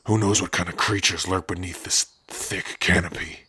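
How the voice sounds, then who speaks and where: Male actor as kind-hearted orc outcast for fantasy ASMR: low, forceful, gruff and raspy, wry and protective. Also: fairly even intonation, normal speed, slight background noise. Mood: wry, reflective. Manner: easygoing, wry, addressing a companion directly. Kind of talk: ASMR fantasy roleplay acting.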